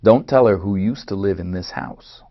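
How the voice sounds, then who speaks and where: A man's voice: lowish voice, moderate pace, close to an everyday voice. An actor in a play reading, playing a firm, wary man in an Israeli family, on a dull recording.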